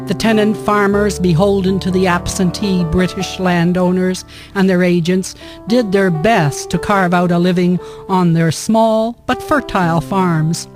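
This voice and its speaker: A woman's voice: on the low side, steady tone. Woman storyteller narrating over prominent guitar music. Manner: measured, formal storytelling. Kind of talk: scripted historical narration.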